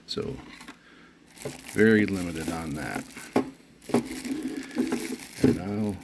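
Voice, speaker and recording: Very deep-voiced man, halting delivery. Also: animated, vocal fry, very noisy. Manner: halting, pauses often mid-phrase. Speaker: product reviewer. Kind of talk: unscripted unboxing commentary.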